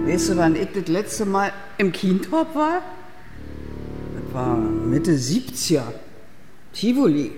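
Elderly widower, slow nostalgic talk, echoey hall sound. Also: male voice, sits high, big pitch swings, over music, exaggerated voice. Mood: wistful. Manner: reminiscing, drawn out. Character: nostalgic old Berlin man, widowed. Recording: roomy reverb.

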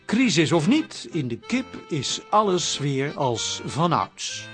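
A man narrates the close of a radio drama over light music. His voice is a little high, with very lively pitch and a measured storyteller delivery.

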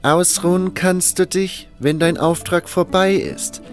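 An actor playing a demanding camp boss who speaks in a formal, authoritative way. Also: male voice, sits high, steady tone, measured pace, soft background music. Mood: stern, insistent.

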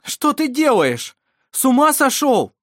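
An excitable fantasy companion, male, as one reader plays him. He shouts in despair at a high pitch, urgent and exclaiming, in a very dry recording. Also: unhurried, dry recording. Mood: alarmed.

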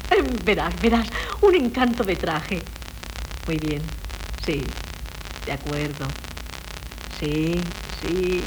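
An actress playing a haughty young society wife in a 1970s radio comedy, theatrical and imperious. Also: female voice, a little high. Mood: breezy, chatty. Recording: noisy old 1970s radio recording.

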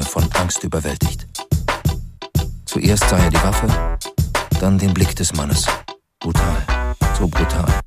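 A man narrating a scripted crime radio drama as a grave, sober storyteller with a measured delivery. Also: low voice.

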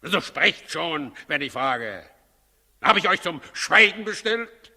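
A very sharp, forceful voice in a long-reverberating hall: an imperious tyrant king in a 1960s radio drama. He commands and questions with impatient sarcasm and suspicion, always ready to threaten. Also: fairly high pitch, big pitch swings, slow, creaky, full character voice. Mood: impatient, demanding. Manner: commanding, sarcastic, menacing interrogation. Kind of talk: 1960s German radio play acting.

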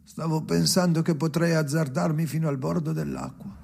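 An actor playing a weary, brooding old man with a creaky, gravelly voice in an experimental radio play. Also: fairly high pitch, steady tone, normal speed, darkish tone, a little hiss or hum. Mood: weary, musing.

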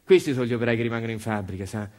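A bitter, crippled male factory worker from a stage monologue, acted out by a man. He speaks quickly in a Roman accent, coarse and mocking, with blunt phrases that he likes to repeat. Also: mid-range voice, a little mellow, natural voice. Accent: Roman, central Italian. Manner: blunt, colloquial, repetitive.

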